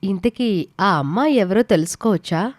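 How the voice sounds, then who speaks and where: A woman's voice: medium pitch, lively intonation, normal speed, no room sound, studio quality, lightly acted. A woman playing a polite, reserved young woman with a hesitant manner in a story drama. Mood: disappointed.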